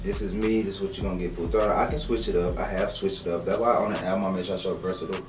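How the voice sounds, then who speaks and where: A man's voice: low-pitched, very fast. Confident slangy man in an echoey room. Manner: animated, confident, slangy, laughs often. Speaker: rapper, interview guest. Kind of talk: casual interview chat. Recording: echoey room, distant mic, faint music.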